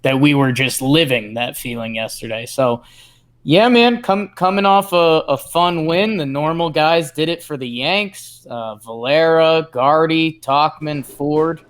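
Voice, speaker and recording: A man's voice: on the high side, lively intonation, unhurried. A man co-hosting a sports podcast, talking in casual conversation. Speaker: podcast co-host.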